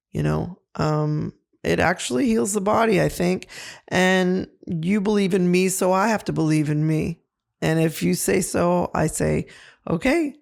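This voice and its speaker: A middle-aged woman with a low voice, co-hosting a casual podcast and giving earnest, reflective advice. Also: gravelly, a little mellow. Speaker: co-host.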